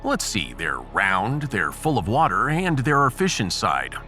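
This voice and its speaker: Man playing a wry, excitable starship captain. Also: on the low side, unhurried, soft background music, exaggerated voice. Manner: sarcastic, excitable. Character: wry, cowardly but bantering male starship captain. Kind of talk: sci-fi audiodrama acting.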